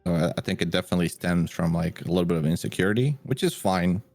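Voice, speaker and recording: Very deep-voiced man, dry and close. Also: quick pace, vocal fry, a little mellow, no room sound, faint music behind. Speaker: podcast co-host. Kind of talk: casual chat.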